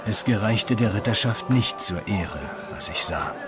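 A man playing a dignified medieval knight, recounting his travels in measured, formal, old-fashioned words. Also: fairly low pitch, animated. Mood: measured recollection. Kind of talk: first-person dramatised narration in a documentary. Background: music.

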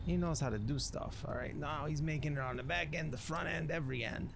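A man's voice: on the high side, brisk, gravelly, background noise. A man hosting a gaming video, giving casual roleplay commentary in folksy, slangy storytelling. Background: music and game audio.

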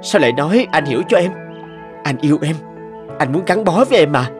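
An actor voicing a courteous, devoted rich young boss and boyfriend in a cartoon drama, affectionate and earnest. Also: male voice, on the high side, lively intonation, brisk, natural voice. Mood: hurt, insistent. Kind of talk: animated drama dubbing. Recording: music clearly under the voice.

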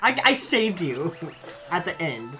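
A man's voice: on the high side, big pitch swings, a little slow. A young man chatting casually during a game, teasing dryly and exclaiming at what happens. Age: young adult. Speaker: gaming co-host. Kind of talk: casual chat while gaming. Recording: loud game music behind the voice. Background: loud video game music.

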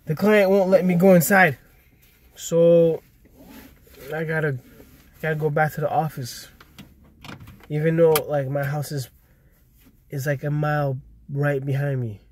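A man's voice: fairly high pitch, fairly even intonation, unhurried, pauses often, darkish tone. A man in his thirties with a stuffy, congested nose, tired, talking casually to a vlog camera in a car. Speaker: vlogger. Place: inside a parked car in daytime. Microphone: camera at close range.

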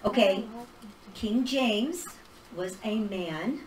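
A middle-aged woman leads a casual Bible class, talking with a handheld mic. Her voice is animated, and she breaks into laughter often. She sounds roomy and distant, as if the camera picked her up from across a small hall. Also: mid-range voice, very slow. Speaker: Bible teacher. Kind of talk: casual teaching talk. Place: small church hall with projector screen. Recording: roomy hall recorded from far off.

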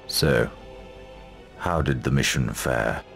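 An actor playing a grave, stately ruling lord in a video game cutscene, speaking solemnly and with authority. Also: male voice, a bass voice, slow, creaky, fairly bright tone, faint music behind, heavily acted. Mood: solemn, commanding.

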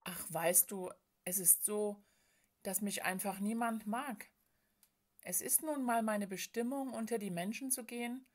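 A woman plays a frail storybook spirit of sorrow, female and bodiless. She speaks in a hushed, plaintive way, timid and tired, as one who feels misunderstood and shunned. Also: medium pitch, lightly acted. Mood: plaintive, dejected. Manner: hushed, hesitant, sighing, plaintive.

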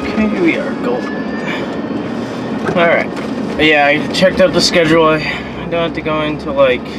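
A man's voice: a little high, moderate pace. A young man vlogging casually to camera while he drives, rambling with lots of fillers, filmed by a camera on the windshield. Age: young adult. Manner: rambling, many fillers and trailing off. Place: inside a car, driving. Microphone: camera mounted on the front window. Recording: loud road and engine noise. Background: loud car and air conditioning hum.

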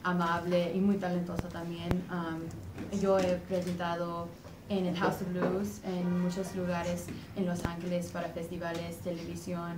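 Young woman, halting. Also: on the low side, completely flat delivery. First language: English. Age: young adult. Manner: hesitant, searches for words, many "um"s. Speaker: singer being interviewed. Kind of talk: casual interview answers. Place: dim indoor room. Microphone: far camera. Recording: echoey room, far-off camera mic, clear background noise.

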